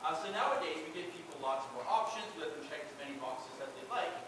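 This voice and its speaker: A man's voice: fairly high pitch, lively intonation, fast. Man lecturing in an echoey hall. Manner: chatty, many filler "uh"s and "right?" checks. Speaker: researcher presenting at a convention panel. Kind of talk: conversational lecture. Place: large convention panel room. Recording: echoey hall from far off, noisy.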